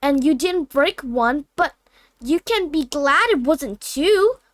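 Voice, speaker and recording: A woman's voice: high-pitched, expressive, slow pace, dry recording, voice pushed up. A woman voicing a cheerful, chatty little girl from a storybook, eager and earnest, rambling and correcting herself. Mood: bright, encouraging. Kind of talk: storybook character voice.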